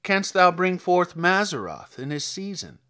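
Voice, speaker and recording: A man's voice: high voice, big pitch swings, measured pace, sharp tone, full character voice. A man reading scripture as a stern, commanding God, declaiming solemn rhetorical challenges in archaic English.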